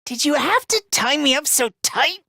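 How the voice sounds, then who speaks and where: A man's voice: very high-pitched, sing-song, normal speed, vocal fry, pushed and bright, no echo, studio quality, exaggerated voice. A man voicing a timid, self-loathing, frantic young male fighter in an anime-style video game dub, stammering, self-deprecating and easily panicked. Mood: whiny, bitter.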